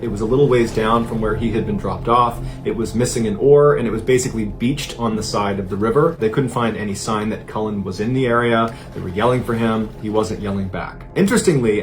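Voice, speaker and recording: A man with an American accent telling a true-crime mystery in an earnest, emphatic, suspenseful storytelling style. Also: medium pitch. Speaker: storyteller host of a mystery series. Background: background music under the voice.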